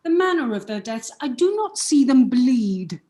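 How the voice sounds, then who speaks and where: Actress as commanding ruler, slightly high voice, solemn, measured, authoritative, echoey room, radio play. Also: female voice, sing-song, mellow. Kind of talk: theatrical Shakespearean verse in a radio play.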